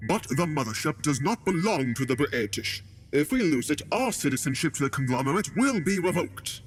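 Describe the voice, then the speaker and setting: Highish male voice, swinging intonation, actor as an indignant alien official, sarcastic, accusing, audio drama. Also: big pitch swings, normal speed. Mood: concerned. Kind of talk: science fiction audio drama acting.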